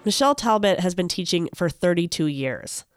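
A woman's voice: lowish voice, lively intonation, moderate pace, crowd noise, background noise. An American woman hosting a podcast, moving between scripted narration and interview questions. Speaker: podcast host.

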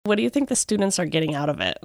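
A woman's voice: fairly low pitch, wildly swooping pitch, rapid-fire, creaky, no room sound, clean studio sound. A woman hosting a podcast, reading scripted narration and asking interview questions. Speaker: podcast host.

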